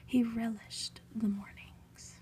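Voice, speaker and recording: A woman reading a story aloud for ASMR in a soft, breathy whisper, hushed and deliberate, with pauses. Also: fairly high pitch, little pitch movement. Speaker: host reading a book aloud.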